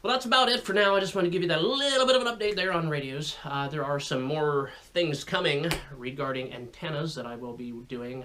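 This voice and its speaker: Male hobbyist vlogger, American, mid-pitched voice, folksy and colloquial, explains technical things informally. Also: sharp tone. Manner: folksy, plain-spoken, colloquial. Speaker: radio hobbyist video host.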